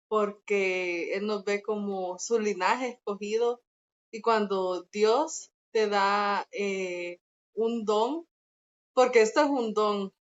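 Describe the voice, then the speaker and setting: A woman's voice: mid-range voice, lo-fi sound. A woman from El Salvador with a Salvadoran Spanish accent, a podcast guest earnestly thinking aloud in a church discussion.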